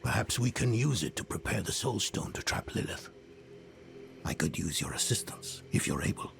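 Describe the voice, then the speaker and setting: An actor playing a burdened, grumbling old mystic in a dark fantasy game, with a gravelly voice and brooding, halting manner. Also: male voice, low-pitched, heavily acted. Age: older. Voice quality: gravelly, breathy at times. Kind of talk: dramatic video game dialogue. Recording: dramatic game music underneath.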